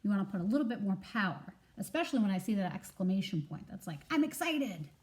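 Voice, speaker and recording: A woman teacher reading a children's story aloud, enunciating expressively and pausing to prompt listeners with questions. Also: mid-range voice, lively intonation, a little mellow.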